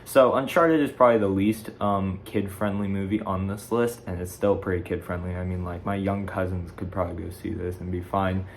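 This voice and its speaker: A teenage boy with a fairly low voice talks casually as the host of his own video. He rambles and corrects himself as he goes, like someone chatting off the cuff to viewers. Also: steady tone, a little fast, hardly any pauses. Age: teens, fifteen. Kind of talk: casual chat ranking video game movies.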